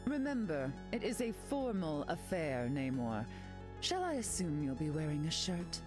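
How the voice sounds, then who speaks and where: A haughty, condescending queen of a video game. Her lines swoop widely with poised, theatrical sarcasm. Music plays clearly behind her. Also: sits low, very animated, normal speed. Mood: teasing, haughty. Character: haughty, sardonic, glamorous telepathic queen. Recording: game dialogue over loud music.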